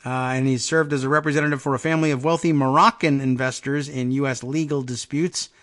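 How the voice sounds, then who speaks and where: A man hosting a political talk show, reading news stories aloud with wry asides, halting on hard names and restarting often. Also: medium pitch, steady tone, fairly bright tone. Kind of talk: casual news commentary, reading headlines aloud.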